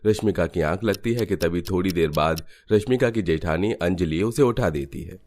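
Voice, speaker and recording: Very deep male story narrator. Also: normal speed, darkish tone. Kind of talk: scripted Hindi cartoon story narration.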